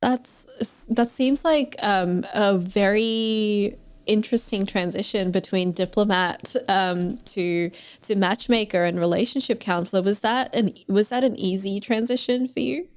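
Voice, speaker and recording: A woman's voice: mid-range voice, a little slow, no room sound. Upbeat woman hosting a podcast. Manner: upbeat, enthusiastic, hesitant fillers. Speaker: podcast host. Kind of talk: scripted intro then interview.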